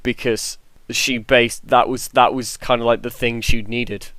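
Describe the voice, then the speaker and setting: A man's voice: mid-range voice, little pitch movement, measured pace, fairly bright tone, dry recording. A man speaking casually and earnestly as a panelist on a podcast, in a reflective way.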